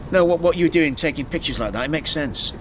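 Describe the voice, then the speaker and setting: A man's voice: a little high, fast talker, natural voice. A man acting a chatty, probing motorist in a naturalistic radio drama, speaking hesitantly over car noise. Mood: friendly, curious. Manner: hesitant, probing small talk. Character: chatty, probing male motorist, insurance assessor. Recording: clear vehicle noise around the voice. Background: car engine and road ambience.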